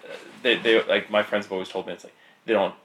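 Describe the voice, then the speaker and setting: A man's voice: on the low side, fairly even intonation, fast, fairly bright tone, roomy sound, slight background noise. A Canadian man hosting a podcast, chatting casually in a laid-back, rambling way and laughing often. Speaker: podcast host. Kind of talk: casual chat.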